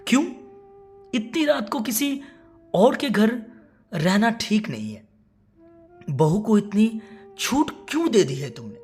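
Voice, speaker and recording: Woman voicing a gentle, worried old mother, unhurried. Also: medium pitch, sing-song, faint music behind, pitched above the performer's own voice. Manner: gentle, grave, earnest. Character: worried, caring, self-sacrificing elderly widowed mother. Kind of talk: dramatised moral storytelling.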